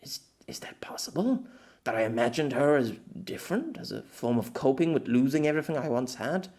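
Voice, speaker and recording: Man acting a distraught, bitter exiled man, wildly animated. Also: mid-range voice, a little fast, close to an everyday voice. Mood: desperate. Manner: stammering, swearing, dramatic outbursts. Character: distraught middle-aged exiled man, bitter, hot-tempered, desperate.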